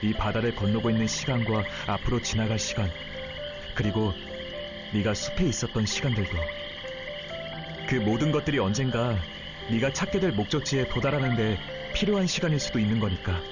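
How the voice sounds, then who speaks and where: A man's voice: lowish voice, fairly quick, lo-fi sound, close to an everyday voice. A man playing a kind, patient and sincere young male teacher in a game story. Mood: earnest, reflective. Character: gentle, earnest, seasick young male teacher, idealistic. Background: loud music.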